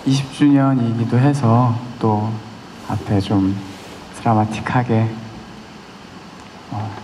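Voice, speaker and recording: A thirty-year-old male singer talking softly and shyly to a concert audience between songs, on a handheld stage microphone. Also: mid-range voice, steady tone, slow, slight background noise. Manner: soft-spoken, shy and playful with the audience. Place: large concert hall, on stage. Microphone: handheld stage mic. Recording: concert hall with long reverb, music behind.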